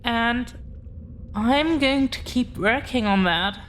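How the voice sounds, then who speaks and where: A woman's voice: on the high side, slow pace, a little hiss or hum. A woman playing an affectionate, earnest dragon-blooded girlfriend in an intimate audio roleplay, confessing to the listener in a hesitant, tender way. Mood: tender, vulnerable.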